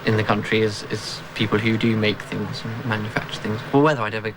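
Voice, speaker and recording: Hesitant, formal young officer. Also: male voice, fairly low pitch, little pitch movement, fast. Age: young adult. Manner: hesitant, searching for words, formal. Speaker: army officer. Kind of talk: interview answers. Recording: noisy field recording.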